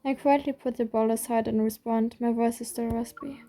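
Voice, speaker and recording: A woman reading a game's story aloud in a steady first-person voice. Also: a little high, a little fast, soft background music. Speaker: reader.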